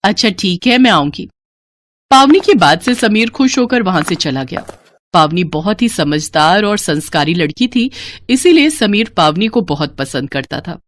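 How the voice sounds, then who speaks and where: A woman's voice: lowish voice, very animated, normal speed. A woman reading a scripted story as its narrator.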